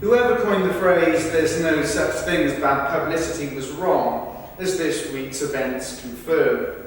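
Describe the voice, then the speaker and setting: A man performing a rehearsed reading of verbatim texts in a formal, deliberate, projected delivery. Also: on the high side, lightly acted. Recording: echoey hall, far from mic, faint noise.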